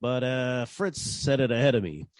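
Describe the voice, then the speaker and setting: An actor playing a wry, deadpan private detective narrating his caper in 1940s radio style. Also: medium pitch, normal speed, no room sound, studio quality, lightly acted. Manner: deadpan, wisecracking.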